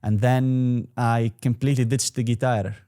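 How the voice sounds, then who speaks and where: A man's voice: mid-range voice, little pitch movement, moderate pace, mellow, smooth. A young man in his twenties whose first language is Arabic, talking casually as an interview guest, rambling with restarts and fillers. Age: 20s. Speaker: guest entrepreneur.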